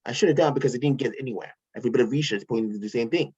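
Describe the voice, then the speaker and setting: A man's voice: medium pitch, rapid-fire, darkish tone, husky, natural voice. A man reading a breezy, casual billionaire in an online table read, with a loose, casual delivery. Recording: dull lo-fi home mic.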